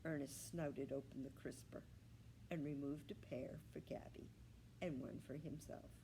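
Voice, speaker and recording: A woman's voice: sits low, steady tone, unhurried, mellow, a little hiss or hum, rough recording. A woman reading a children's storybook aloud as a storyteller. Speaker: library staff storyteller.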